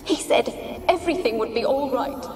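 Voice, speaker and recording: A woman's voice: sits high, normal speed, close to an everyday voice. An actress playing the lonely, haunted ghost of a young noblewoman in a video game, with a plaintive, dramatic delivery. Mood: anguished, fearful. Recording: loud music under the voice. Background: game soundtrack music.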